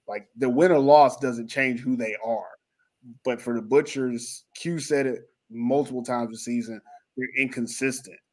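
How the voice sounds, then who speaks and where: A man's voice: mid-range voice, fairly even intonation, unhurried, darkish tone. A man hosting a sports podcast, chatting casually in a rambling, jokey, self-mocking way. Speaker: podcast host. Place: lives in Finland.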